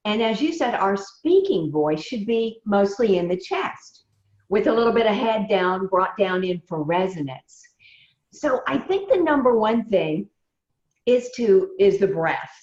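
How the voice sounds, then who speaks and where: A woman, a voice coach giving measured, emphatic advice in a remote interview. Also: mid-range voice, animated, darkish tone. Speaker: voice coach guest. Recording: roomy, dull lo-fi sound.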